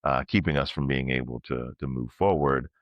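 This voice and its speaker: Really deep voiced guy cohosting a podcast, reflective and explainy, kinda muffled dull audio. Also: moderate pace, dry recording. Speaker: podcast co-host. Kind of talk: casual reflective podcast conversation.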